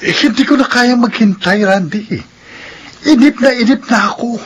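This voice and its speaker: A man's voice: extremely high pitch, moderate pace, a bit bright, slight background noise. A man playing an earnest, anguished suitor in a drama. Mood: pleading, desperate. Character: lovesick, impatient male suitor, earnest and anguished. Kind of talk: radio drama dialogue.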